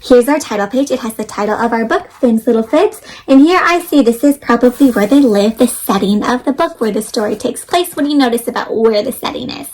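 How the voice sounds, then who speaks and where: A woman's voice: on the high side, over music, poor audio. A woman hosting an interactive read-aloud for young children, speaking expressively and putting questions to her listeners. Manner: animated, expressive, asks listeners questions with pauses.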